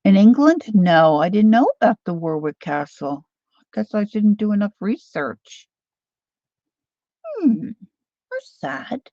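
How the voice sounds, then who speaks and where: A woman's voice: medium pitch, sing-song, unhurried, long pauses, no echo. A woman in her sixties with a Philadelphia accent, who hosts a casual craft livestream, chatting in a rambling way while she reads out viewer comments. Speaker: craft channel host. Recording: dull lo-fi livestream audio.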